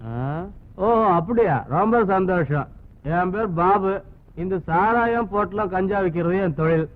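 A man's voice: sits high, measured pace. An actor playing a boastful young male street liquor seller, chatty and self-important. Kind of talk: film comedy scene. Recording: tinny, phone-like film audio.

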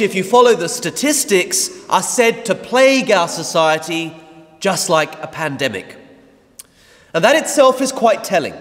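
A priest in his thirties delivers a slow, deliberate homily from the lectern, leaning on long pauses and strong emphasis. His voice sits high for a man and swings widely in pitch as he stresses key words. The sound carries the long reverb of a big church. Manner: measured, emphatic preaching with dramatic pauses. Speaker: parish priest. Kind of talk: sermon. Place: large church, in the sanctuary. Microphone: mounted lectern mic.

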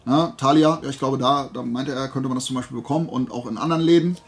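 A man's voice: medium pitch, quick pace. A man hosting a casual YouTube haul vlog, talking informally in a rambling way as he thinks aloud. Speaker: YouTube host.

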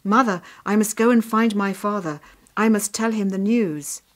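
A woman's voice: medium pitch, moderate pace, lightly acted. Female reader playing loyal older brother, earnest boy, serious, protective, storybook dialogue. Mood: earnest, resolute.

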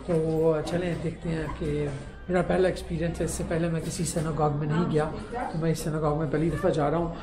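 A middle-aged man, chatting as a travel vlogger in a halting way with many pauses. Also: fairly high pitch, flat delivery, crowd noise. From Pakistan. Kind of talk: casual travel vlog narration. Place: inside a large ornate synagogue hall. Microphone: handheld camera at arm's length.

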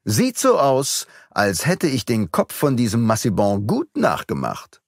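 A boastful gentleman thief who talks down to everyone with theatrical relish, as a male narrator puts him on. His voice jumps up and down in wide, lively swings. It is a dry, close audiobook reading. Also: mid-range voice, unhurried, no room sound, full character voice. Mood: gloating. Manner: taunting, theatrical, mock-friendly.

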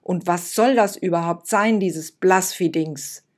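A stubborn little girl from a storybook, loud and defiant, her voice pushed up high, as a woman reading aloud puts her on. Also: unhurried. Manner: pleading, then defiant and loud.

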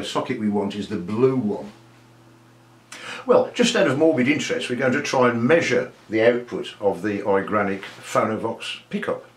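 An older man giving an informal technical explanation in an unhurried, hesitant, modest way. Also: fairly low pitch, a little hiss or hum. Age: 60s or older. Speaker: presenter of a vintage audio technical video. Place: small home study with desk and bookshelves.

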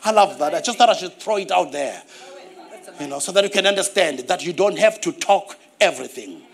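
A middle-aged man with a Zimbabwean accent preaching a sermon on a church stage, animated and repeating key phrases, calling for responses. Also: high-pitched, brisk. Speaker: pastor.

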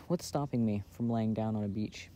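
Male, low mellow voice, playful boyfriend-style ASMR chat, soft-spoken, recorded outside with faint noise behind. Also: normal speed. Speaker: audio roleplay performer playing a boyfriend. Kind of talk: intimate ASMR roleplay, casual chat. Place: outdoors. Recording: outdoor recording with background noise. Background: outdoor ambience and noise.

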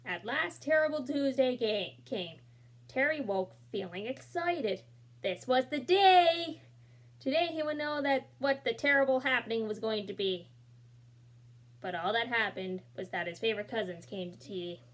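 A young woman reading a picture book aloud, bright and expressive. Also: a little high, a little slow. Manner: expressive, with asides and small slips. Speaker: children's book reader. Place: small room indoors.

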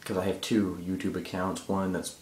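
A teenage boy with a somewhat low voice rambles into a handheld iPod camera held at arm's length. He talks loosely and jokily, losing his thread. The small tiled bathroom gives the sound a hard, echoing ring. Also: flat delivery, moderate pace, darkish tone. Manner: rambling, easily distracted, jokey. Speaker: gaming vlogger. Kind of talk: casual rambling vlog. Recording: echoey bathroom.

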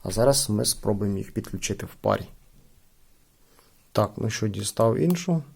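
A man's voice: fairly low pitch, animated, moderate pace, vocal fry, a little mellow, slightly rough. A man hosting a casual product review, talking informally to his viewers as friends. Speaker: gadget unboxing channel host.

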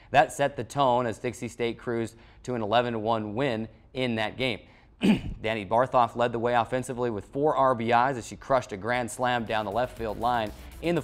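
A man in his twenties with an American accent, hosting a sports show and reading a scripted recap of results. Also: medium pitch. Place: small TV studio at a desk.

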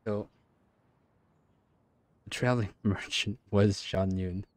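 A man in his thirties giving casual, halting reaction commentary over gameplay, musing aloud. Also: lowish voice, fairly even intonation, no room sound. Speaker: gaming streamer.

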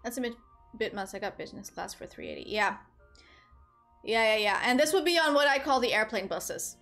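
A young woman from Portugal chats with her stream viewers into a headset mic in a small room. She talks casually, rambling and giggling, in a slightly high voice. Faint music plays in the background. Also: a little fast. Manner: chatty, giggly, rambling, animated. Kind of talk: casual chat with live chat. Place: small room indoors at a desk.

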